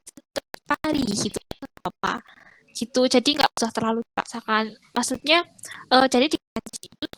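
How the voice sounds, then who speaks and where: A woman's voice: a little high, fairly bright tone, slight background noise, rough recording. A woman, teaching casually and reassuring students in a rambling way. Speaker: French teacher.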